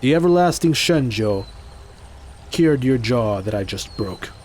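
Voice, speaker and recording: A man's voice: mid-range voice, expressive, a little slow, darkish tone, close to an everyday voice. A man playing a confident, stern fighter who guards a sacred treasure in an audio drama, firm and teasing. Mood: firm, taunting. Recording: faint background noise.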